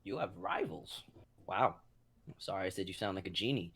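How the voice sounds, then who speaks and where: A man's voice: low voice, normal speed, fairly bright tone, slightly rough, exaggerated voice. A man playing a bewildered, skeptical ordinary guy, hesitant and questioning, in a comedic dramatic reading.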